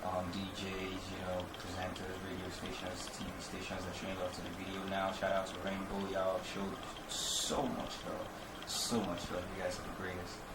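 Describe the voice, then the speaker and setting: A young Nigerian man, a musician guest, chatting easygoing about his career and giving shout-outs. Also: low-pitched, completely flat delivery, moderate pace, hardly any pauses. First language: Igbo. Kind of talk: casual interview talk. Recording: echoey room, distant mic, loud background noise.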